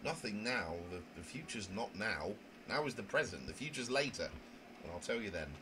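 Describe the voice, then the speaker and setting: A man acts out a prim young visual novel character who narrates in fussy, theatrical phrases. The voice sits fairly low and sounds indignant and proper. The recording carries noticeable noise. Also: big pitch swings, normal speed. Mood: haughty. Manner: wordy, fussy, theatrical diction. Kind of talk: dramatic reading of a visual novel. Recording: noisy home microphone recording.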